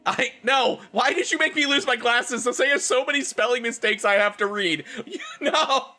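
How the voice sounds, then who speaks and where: A man's voice: very high-pitched, moderate pace, sharp tone. A man live streaming a dramatic reading of fan fiction, breaking off into exasperated asides.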